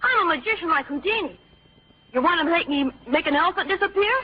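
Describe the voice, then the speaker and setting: A cocky young American boy, very high in pitch. He talks brashly, like a scrappy schemer in a radio play. The recording is dull and lo-fi. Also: animated, quick pace. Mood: boastful. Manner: brash, colloquial. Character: cocky, boastful young boy inventor, scrappy street kid. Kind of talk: old-time radio drama acting.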